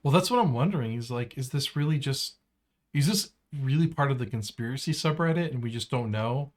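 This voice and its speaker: A man's voice: mid-range voice, expressive, a little fast. A man with an American accent co-hosting a podcast in casual, slangy banter peppered with "like" and "man". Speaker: podcast co-host.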